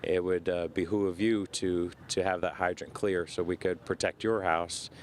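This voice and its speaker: A man, a firefighter, speaking haltingly in an interview with fillers and restarts. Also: low-pitched, steady tone, slight background noise. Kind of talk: interview soundbite.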